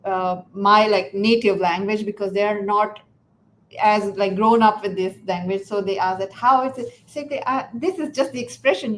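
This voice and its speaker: A woman whose first language is Urdu, hosting a casual interview and talking in a halting way with many fillers and restarts. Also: a little high, slight background noise, low-quality recording. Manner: hesitant, many fillers and restarts, laughs often. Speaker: podcast host.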